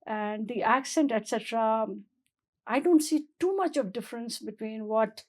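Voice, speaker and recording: Bright-voiced woman host. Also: on the high side, normal speed. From lived mostly in Delhi, India. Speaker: interview host. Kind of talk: hosted interview.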